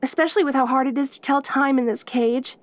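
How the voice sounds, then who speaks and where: A woman's voice: high voice, fairly even intonation, fast talker, dry recording, natural voice. A woman voicing a perky, lonely caged demon girl in an intimate audio roleplay, with an eager, rambling, stammering manner. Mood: anxious, rambling. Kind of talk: audio roleplay acting, addressing the listener intimately.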